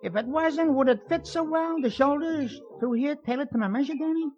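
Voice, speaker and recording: A man's voice: very high-pitched, a little fast, darkish tone. An actor voicing a slangy male Broadway informer who stammers and repeats himself in a radio crime drama. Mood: boastful, nervous. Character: slangy, fast-talking male Broadway informer, touchy and ingratiating. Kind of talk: 1950s radio crime acting. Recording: muffled old radio recording.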